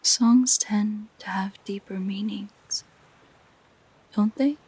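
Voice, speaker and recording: A woman voicing a possessive, curious siren in an intimate audio roleplay, speaking in soft, gentle, musing whispers. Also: mid-range voice, fairly even intonation, no echo, exaggerated voice, rough recording. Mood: musing delight. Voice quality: soft, breathy, mostly whispered. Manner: slow, gentle, musing delivery. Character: possessive, curious female siren, sweetly entranced by humans.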